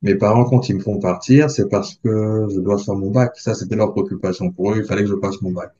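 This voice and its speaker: A man in his forties from the Republic of the Congo, a podcast guest who speaks in a measured, thoughtful way with frequent hesitations. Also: fairly low pitch, fairly even intonation, warm tone, dull audio. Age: 40s. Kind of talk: reflective interview talk.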